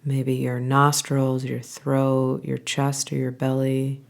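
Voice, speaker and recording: A woman guiding a body scan meditation, giving calm, gentle instructions in an unhurried way with pauses. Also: extremely low pitch, creaky. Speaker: meditation guide.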